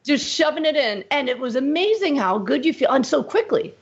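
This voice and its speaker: Woman, doctor guest, fairly high voice, emphatic, dull lo-fi sound. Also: big pitch swings, brisk. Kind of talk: conversational interview.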